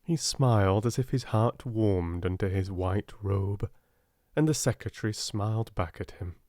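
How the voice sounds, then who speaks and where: Low, soft-spoken British male narrator. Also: unhurried, no room sound, studio quality. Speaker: audiobook narrator. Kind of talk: soft-spoken storybook reading.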